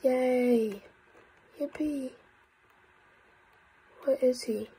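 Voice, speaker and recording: Congested woman YouTuber, very husky and mellow tone, excitable gameplay chatter. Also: sits high, extremely slow. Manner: excitable, with frequent whispered asides. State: congested, croaky voice. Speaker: gaming YouTuber. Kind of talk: casual gameplay commentary.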